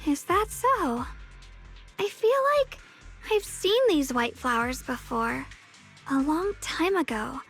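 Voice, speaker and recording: A woman's voice: high voice, big pitch swings, takes it slowly, faint music behind, exaggerated voice. A woman playing an innocent, earnest young girl adventurer in an anime-style video game, hesitant and sweet. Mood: wistful, puzzled.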